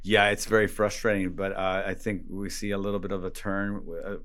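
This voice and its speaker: Low-voiced man chatting casually. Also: little pitch movement, fairly quick, a bit bright, clear, resonant tone. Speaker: guest. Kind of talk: casual chat.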